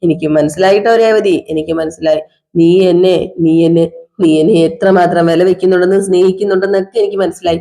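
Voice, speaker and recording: Drunk, sulking husband, played by a woman: slow sarcastic drawl, mellow dark voice. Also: fairly low pitch, fairly even intonation, lightly acted. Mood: accusing. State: drunk (as acted). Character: drunk, bitter middle-aged husband, self-pitying. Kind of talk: one-person domestic drama skit.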